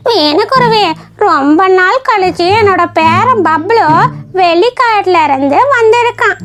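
An extremely high, childlike female bird voice. The character speaks earnestly and directly, as in a children's cartoon, with music clearly playing behind. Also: animated, unhurried. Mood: kindly, reassuring. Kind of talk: children's cartoon story voice acting.

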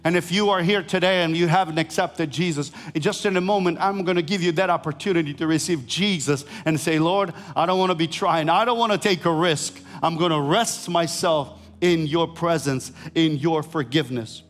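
A middle-aged man from Ukraine with a Ukrainian accent, preaching a sermon on a large church stage, swinging between rising emphatic lines and quiet lowered ones. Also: normal speed, few pauses. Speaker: pastor.

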